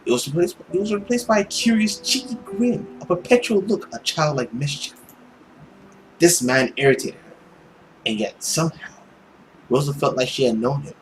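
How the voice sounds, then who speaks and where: A man reading a visual novel as narrator, in a hushed, deliberate, theatrical way. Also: a little high, a little hiss or hum, rough recording.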